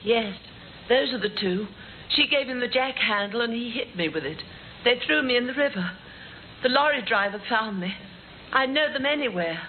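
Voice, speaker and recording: Casting: actress as accusing victim-witness, fairly high urgent voice, vintage radio crime play, phone-like sound. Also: female voice, lively intonation, normal speed, lightly acted. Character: wronged victim testifying urgently as surprise witness. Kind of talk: 1950s radio drama acting. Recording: tinny, hissy old radio recording.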